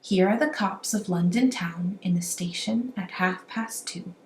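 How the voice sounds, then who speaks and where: A woman reading a rhyming children's story aloud in an animated, amateur storytelling style. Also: on the low side, measured pace. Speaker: amateur children's book reader. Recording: roomy echo, faint background noise.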